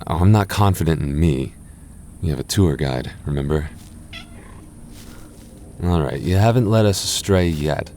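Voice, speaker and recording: A man playing a shy, soft goth boyfriend. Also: rumbling low, measured pace, long pauses, a little hiss or hum. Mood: reassuring. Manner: soft-spoken, stammering, reassuring. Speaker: voice actor. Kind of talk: ASMR boyfriend roleplay.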